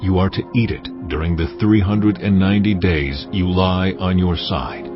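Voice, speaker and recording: A man performs a solemn, commanding deity in a scripture audio drama. The voice is very low, stern and deliberate, full of authority. Music plays softly beneath, and the sound is slightly dulled. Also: steady tone, highs cut off, close to an everyday voice. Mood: solemn instruction. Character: God, solemn commanding deity instructing a prophet.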